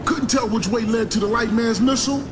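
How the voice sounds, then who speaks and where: A man's voice: very high-pitched, brisk, background noise. An actor playing an easygoing, plain-spoken young soldier who tells his story in a folksy, wry way in a video game. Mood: wry narrating. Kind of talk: video game narration and dialogue.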